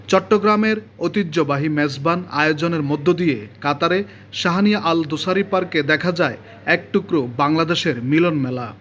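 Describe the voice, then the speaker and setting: A man's voice: on the high side, a bit bright, a little hiss or hum. Man reading a formal news report. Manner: measured, formal delivery. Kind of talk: scripted news narration.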